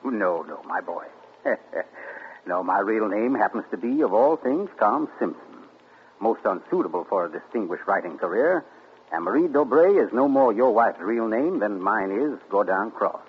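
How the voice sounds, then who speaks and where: An actor playing a suave, gloating male amateur sleuth in 1940s radio acting, theatrical and deliberate. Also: fairly low pitch, animated, dull audio, close to an everyday voice. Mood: smug. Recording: scratchy 1940s radio broadcast.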